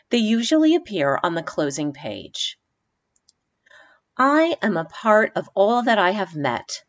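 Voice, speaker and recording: Lively woman presenting on a podcast. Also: medium pitch, dull audio. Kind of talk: scripted narration and poetry reading.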